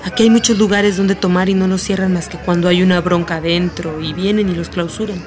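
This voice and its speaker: Low-voiced, practical Mexican schoolteacher played by an actress. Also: female voice, fairly even intonation, a little fast, close to an everyday voice. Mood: reassuring, practical. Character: streetwise, protective older sister, a Mexican schoolteacher. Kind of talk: radio play acting. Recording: music bed behind the voice.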